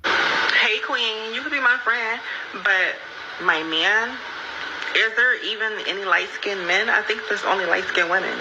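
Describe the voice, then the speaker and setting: A woman's voice: medium pitch, unhurried. A woman in a casual, opinionated rant, speaking emphatically and repeating herself, on a lo-fi clip. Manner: emphatic, repetitive. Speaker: speaker in a social media clip. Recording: muffled, noisy lo-fi clip playback.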